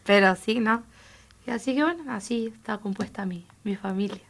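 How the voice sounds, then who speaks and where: Gravelly-voiced young woman. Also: medium pitch, normal speed. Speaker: guest dancer. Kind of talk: casual chat.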